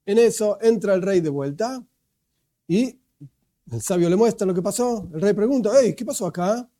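A man delivers a religious lesson in an explanatory, emphatic way, often asking and then answering his own questions. His voice is high for a man yet very dark and mellow in tone. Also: animated, normal speed. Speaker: religious teacher. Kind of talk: religious lecture.

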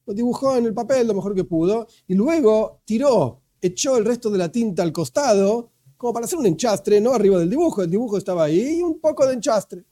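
High-voiced religious lecturer. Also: male voice, expressive, fairly quick, few pauses, dark tone. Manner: explanatory, poses rhetorical questions, emphatic. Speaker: religious teacher.

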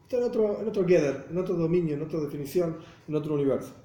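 Man, Buenos Aires accent, Torah teacher, mellow warm voice, fairly high pitch, echoey room. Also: racing. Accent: Buenos Aires Spanish. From Argentina. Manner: explanatory, asks rhetorical questions, checks listeners follow. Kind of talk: religious class lecture. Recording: roomy echo.

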